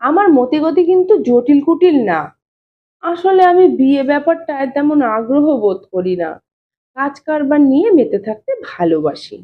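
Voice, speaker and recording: A woman's voice: high voice, animated, moderate pace. A woman reading a storybook as a shy, stubborn young bachelor, plain-spoken and evasive in colloquial speech. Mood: stubborn, reluctant. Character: shy, stubborn young bachelor brother-in-law, reluctant to marry. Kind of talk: dramatized audiobook reading. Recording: thin phone-like narrow-band sound.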